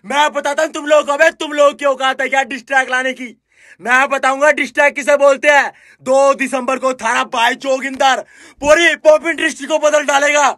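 Shouting male rapper promo, very high and piercing, boastful, dry sound. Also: steady tone, a little fast, no echo. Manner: boastful, bellowing, challenging. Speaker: diss track rapper promoting a release.

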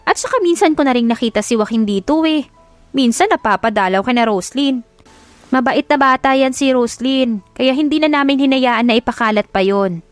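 A woman's voice: high voice, normal speed, no echo, soft background music, voice pushed up, natural voice. A woman voicing a plain-spoken, protective village neighbour woman, chatty and matter-of-fact, in an audiobook story. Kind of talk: audiobook character acting.

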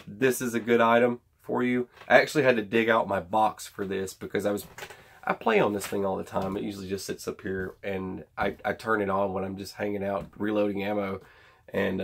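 Low, gravelly man rambling casually. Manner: rambling, folksy, informal. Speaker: host of a gear review channel. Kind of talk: casual product unboxing and review chat. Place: indoor home workshop.

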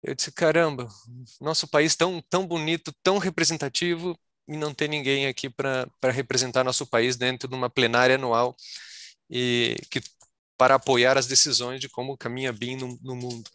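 A man's voice: mid-range voice, flat delivery, normal speed, sharp tone, no room sound. A Brazilian man answering as a panelist on a video call, explaining at length and often repeating words as he searches for them.

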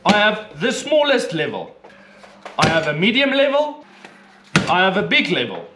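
Male DIY presenter, very lively swooping intonation, emphatic, small echoey workshop. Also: high-pitched, moderate pace, noisy background. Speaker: DIY woodworking channel host. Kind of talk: presenting tool advice to camera. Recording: echoey room with background music.

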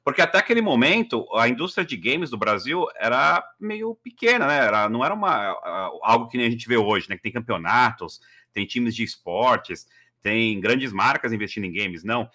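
A man's voice: mid-range voice, sing-song, normal speed, bright, forceful tone. A man, telling his story as a guest on a video call, chatty with many fillers. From Brazil. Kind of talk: casual interview storytelling. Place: living room at home.

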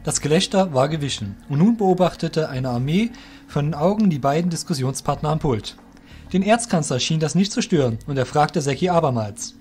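A man's voice: fairly high pitch, lively intonation, normal speed, a little mellow. A man narrating a scripted fantasy audio drama as its storyteller.

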